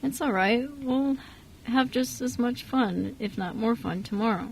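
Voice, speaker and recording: A woman playing a kind, soft-spoken adoptive father, hesitant and reassuring, in an amateur radio drama. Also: on the high side, a little slow, gravelly, husky, exaggerated voice, rough recording. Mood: warm, reassuring. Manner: hesitant, reassuring, mild stammer.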